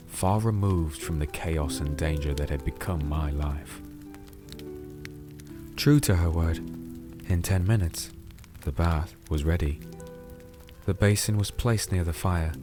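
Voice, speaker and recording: An actor playing a weary, disenchanted crusader who narrates a dark fantasy audio drama in a slow, solemn, gentle way. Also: male voice, low voice. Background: music with rain sounds.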